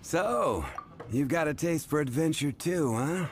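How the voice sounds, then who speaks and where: Easygoing bartender, faint ambience. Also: male voice, fairly high pitch, animated, measured pace, background noise. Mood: chatty. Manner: wry, chuckling, conversational. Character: easygoing, chatty young male tavern bartender. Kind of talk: fantasy game character acting.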